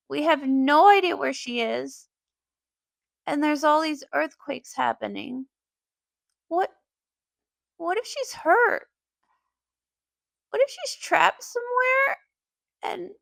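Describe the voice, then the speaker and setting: A woman's voice: high voice, gravelly, no echo, clean studio sound, full character voice. A woman voicing an earnest, worried young pony princess in a cartoon fan dub, speaking emotively. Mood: anxious.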